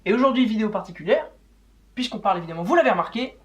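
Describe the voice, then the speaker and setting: A man's voice: high voice, expressive, very fast. A young man, chatting informally as the host of a football show. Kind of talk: casual unedited football chat.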